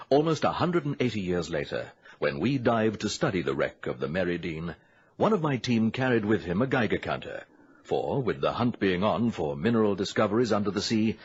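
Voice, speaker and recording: A man narrating an old radio adventure drama from a script in a grave, deliberate storytelling manner. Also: on the low side, very animated, breathy edge. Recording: dull vintage radio recording.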